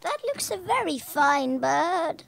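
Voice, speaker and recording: A woman's voice: high voice, sing-song, slow pace, vocal fry, fairly bright tone, exaggerated voice. A young woman playing a genteel, imperious elderly lady hosting dinner in an amateur radio play. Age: young adult. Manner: formal, imperious.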